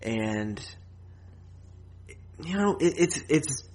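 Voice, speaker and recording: A man's voice: on the high side, big pitch swings, extremely slow, a little mellow. A man co-hosting a podcast, casually reviewing a film in a rambling, loose way with plenty of filler and some swearing. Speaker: podcast co-host.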